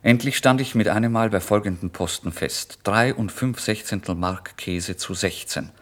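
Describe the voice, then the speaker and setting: An actor playing a starving, desperate young writer in a theatrical, volatile radio play monologue. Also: male voice, on the low side, normal speed. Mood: brooding, confused. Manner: theatrical, volatile, swinging from hushed to loud outbursts. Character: starving, desperate young male writer, feverish and self-deceiving. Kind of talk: dramatic literary monologue, radio play narration.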